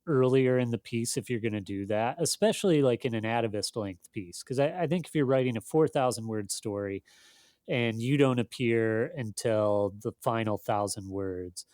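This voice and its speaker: A man speaking casually as an editor being interviewed, in a thoughtful, halting, self-deprecating way. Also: mid-range voice, a little mellow, no echo, studio quality. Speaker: magazine editor, interview guest.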